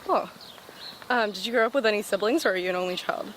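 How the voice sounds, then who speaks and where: A young woman from the Los Angeles area hosting a casual interview, asking questions and reacting with quick exclamations. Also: mid-range voice, slight background noise. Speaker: interviewer host. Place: outdoors on a sidewalk.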